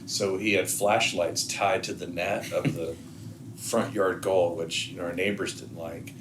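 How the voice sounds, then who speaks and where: A middle-aged man with a deep, low voice chats as a podcast guest, mixing anecdotes with advice. He speaks haltingly, repeating words as he thinks, over clearly audible background noise. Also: little pitch movement. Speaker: head of school, podcast guest.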